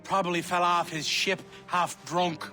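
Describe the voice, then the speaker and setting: A man's voice: high-pitched, steady tone, a little slow, music in the background. An actor playing a grumpy, mocking old colonial man in a video game. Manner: gruff, sarcastic, grumbling.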